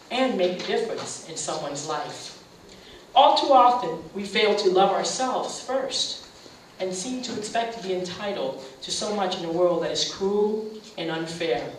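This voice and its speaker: A woman who hosts a radio show gives an earnest, heartfelt speech at a community center, halting on fillers and then swelling with emphasis on key phrases. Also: on the low side. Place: meeting room at a community center. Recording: very echoey room from a distant mic, faint hiss.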